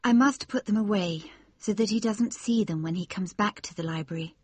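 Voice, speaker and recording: Male narrator doing a tender anxious young wife, high falsetto, slightly husky. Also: moderate pace, darkish tone, dry recording. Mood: pleading. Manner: high strained falsetto, pleading.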